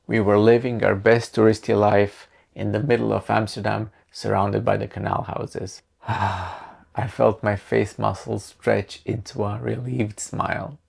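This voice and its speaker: A man's voice: fairly low pitch, gravelly, a little mellow. A man in his thirties from Croatia, narrating his creative vlog in a wry, self-mocking way. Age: 30s. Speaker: creative channel host.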